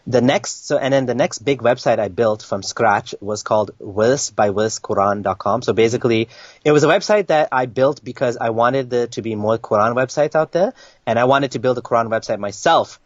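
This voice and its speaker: A man's voice: medium pitch, normal speed, no room sound. A young man from Kenya, a guest in an interview, telling his story in casual talk with many restarts and fillers. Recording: dry, dull lo-fi sound.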